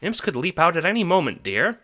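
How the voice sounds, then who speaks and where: A man's voice: a little high, big pitch swings, fairly quick, bright, forceful tone, voice pushed up. A man voicing a matronly, scolding elderly grandmother in an audio drama. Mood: scolding, urgent. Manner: fussing, scolding.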